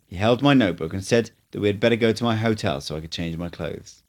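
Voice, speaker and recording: A man narrating a first-person audiobook as an amateur reader, with steady, earnest delivery. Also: lowish voice, quick pace.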